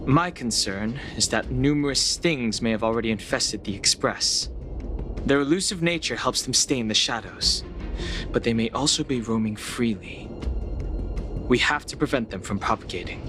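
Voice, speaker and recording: An actor playing a calm, cautious, analytical man in a video game, speaking in a measured, serious way. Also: medium pitch, lightly acted. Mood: concerned, measured. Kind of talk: video game character acting. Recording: loud music behind. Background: loud music.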